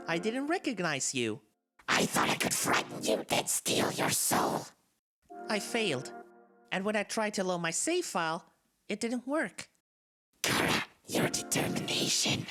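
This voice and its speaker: A woman's voice: medium pitch, sing-song, a little slow, fairly bright tone. A woman voicing a soulless, bored talking flower in a dramatic game fan dub, confiding and theatrical, swinging between wistful and menacing. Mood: weary, intense, then menacing whisper. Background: game soundtrack music.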